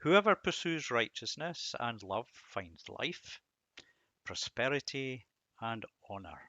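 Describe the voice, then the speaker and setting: A man giving an informal devotional commentary on verses, musing and halting, with self-deprecating asides. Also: medium pitch, sing-song, pushed and bright, dry recording, dull audio. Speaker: host of a Bible reading series.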